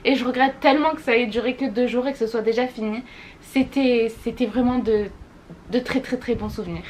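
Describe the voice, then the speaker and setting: A woman's voice: fairly high pitch, fairly even intonation, fairly quick, a little mellow, rough recording. A young woman vlogging a casual monthly recap, gushing and repeating words for emphasis. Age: young adult. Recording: faint background noise.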